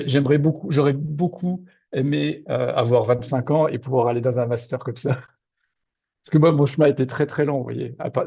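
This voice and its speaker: Gravelly older man on a video call. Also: mid-range voice. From Moselle-Est, France. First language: Lorraine Franconian (Platt). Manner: hesitant, many restarts, animated. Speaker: author. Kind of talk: casual literary discussion. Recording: dull lo-fi video call audio.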